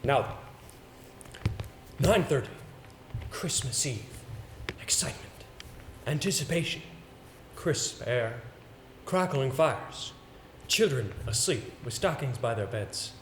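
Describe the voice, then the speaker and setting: A man's voice: medium pitch, lively intonation. Pompous man playing an acting coach, echoey theater. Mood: soft, evocative. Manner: pompous, declamatory, deliberate diction. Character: imperious, exacting male acting coach with grand theatrical flair. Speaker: stage actor in an amateur two-man play. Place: indoor theater stage. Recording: very echoey theater, distant mic, noisy.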